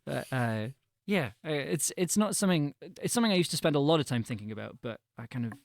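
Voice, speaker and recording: A man's voice: a little high, animated, fast, gravelly, dry recording, clean studio sound. A man who grew up in Scotland, chatting on a live stream in a self-deprecating way, often repeating his words. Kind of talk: casual chat on a live stream.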